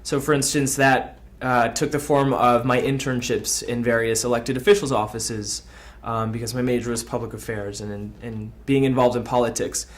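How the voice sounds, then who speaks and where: A man, reflecting in a measured, articulate way during a documentary interview. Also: medium pitch, level tone. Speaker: college alumnus being interviewed.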